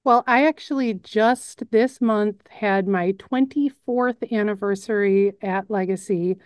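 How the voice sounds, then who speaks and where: A woman's voice: mid-range voice, a little slow, clear, resonant tone, dry recording. Chicago-accented woman, dry close sound. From Chicago suburbs. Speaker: news editor and lead narrator. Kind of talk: casual on-camera chat.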